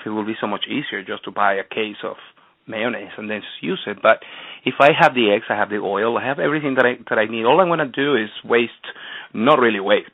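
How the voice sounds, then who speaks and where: A man from Venezuela speaks with earnest enthusiasm in a lowish voice, sometimes stumbling and repeating words as he explains himself. He comes through a tinny phone line with a dry sound. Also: no room sound. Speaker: chef and restaurant owner, guest. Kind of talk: phone-in radio interview.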